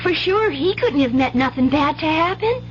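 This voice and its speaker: A woman's voice: high-pitched, lively intonation, moderate pace. An actress playing an earnest, trusting country schoolgirl with folksy, wide-eyed speech in a radio drama. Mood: frightened, pleading. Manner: folksy rural grammar, eager and wide-eyed. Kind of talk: 1970s radio drama acting. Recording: dull, hissy old radio broadcast.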